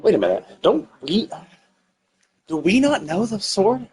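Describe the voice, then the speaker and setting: A man's voice: sits high, wildly swooping pitch, a little slow, a little mellow, slightly rough. A man giving casual, speculative game commentary as a live streamer, thinking aloud and often rephrasing himself.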